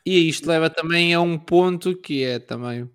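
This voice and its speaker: A man's voice: on the high side, normal speed, a bit bright, clean tone. A man with a European Portuguese accent bantering casually with friends as a podcast co-host.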